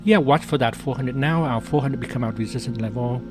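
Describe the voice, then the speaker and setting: Male live-stream trader, mid-pitched voice, casual and jokey, repetitive phrasing, light music underneath. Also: fairly quick. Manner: chatty, jokey, repeats phrases and often says "man". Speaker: day trader hosting a live trading stream. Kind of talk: casual live trading commentary.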